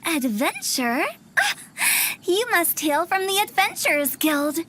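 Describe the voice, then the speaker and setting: An actress playing a mischievous, eccentric young funeral director in a video game, with theatrical, playful sales patter. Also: female voice, very high-pitched, very animated, unhurried, pushed and bright, slight background noise, heavily acted. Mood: playful, teasing. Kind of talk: video game character acting. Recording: game music underneath.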